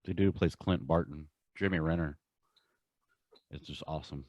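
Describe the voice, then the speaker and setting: A man's voice: very deep voice, moderate pace, a little mellow, dull audio. A man co-hosting a podcast, chatting casually in an emphatic, gushing and informal way. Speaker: podcast co-host. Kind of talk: casual enthusiastic podcast chat.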